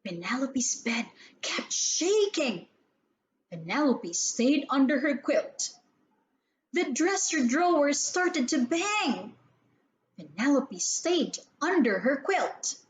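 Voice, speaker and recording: A woman reading a children's story aloud in an expressive, theatrical way, with deliberate emphasis on key words. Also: sits high.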